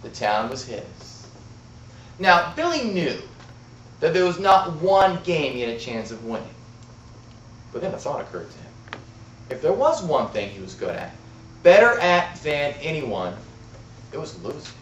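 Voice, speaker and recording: A man telling a tall tale to an audience as an amateur contest speaker, with dramatic, deliberate delivery and emphatic pauses. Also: a little high, very animated, a little hiss or hum. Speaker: amateur storyteller in a speech contest. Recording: roomy, distant, muffled club-room recording.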